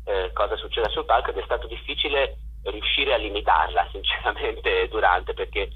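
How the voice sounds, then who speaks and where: A man's voice: fairly low pitch, normal speed, husky, phone-quality sound. A man answering interview questions on the radio as a theatre director and actor, heard as if over a telephone.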